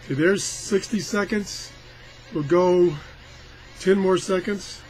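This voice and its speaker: A man with a slightly high voice chats his way through a hands-on demo. He rambles in a folksy, self-deprecating way, as casual as can be. There is some noise behind him. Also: slow pace, a little mellow, poor audio. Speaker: product tester presenting a radio review.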